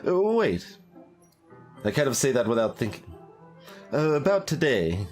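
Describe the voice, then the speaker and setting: Animated man narrating a game. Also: medium pitch, a little slow. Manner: expressive, reading aloud with off-the-cuff asides. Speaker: streamer doing a blind voiced playthrough. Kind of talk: game narration with casual commentary. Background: music.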